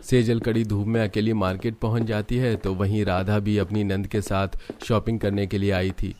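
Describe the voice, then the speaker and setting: Guy narrating a family cartoon story, lowish voice, bit of noise behind. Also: level tone, normal speed, warm tone.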